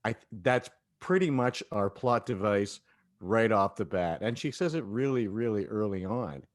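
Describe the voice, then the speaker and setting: A man's voice: lowish voice, a little slow. A man hosting a casual panel discussion, talking with enthusiasm. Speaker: host of a cast talkback.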